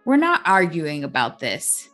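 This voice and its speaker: A woman's voice: deep voice, huge pitch swings, unhurried, a bit bright, faint music behind, heavily acted. A woman voicing a stern, curt male school principal in a storybook.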